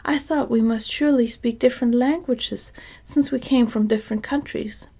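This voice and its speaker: A woman's voice: fairly high pitch, normal speed, creaky, slightly rough. A woman whispering a simple pumpkin-headed man. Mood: earnest. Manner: soft whispered reading.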